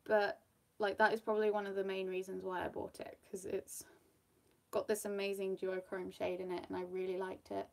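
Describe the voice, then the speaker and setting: A woman's voice: medium pitch, monotone, normal speed, gravelly, darkish tone. A young woman from the UK with a slightly Australian-tinged British accent, chatting casually while doing her makeup on camera, rambling with fillers and frequent little laughs. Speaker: makeup vlogger. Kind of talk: casual get-ready-with-me chat.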